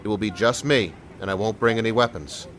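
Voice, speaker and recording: A man playing a calm, sincere and determined police sergeant in an audio drama. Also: on the low side, fairly quick, a little hiss or hum, natural voice. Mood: calm, persuasive.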